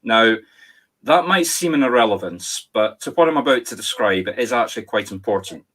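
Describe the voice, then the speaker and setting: A man's voice: fairly low pitch, lively intonation, a little fast, a bit bright. A man with a Scottish accent, a spiritualist medium giving a discursive, storytelling talk over a video call. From Scotland. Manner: discursive, storytelling, self-correcting. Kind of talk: online talk, lecturing on history. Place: home, on video call with virtual background.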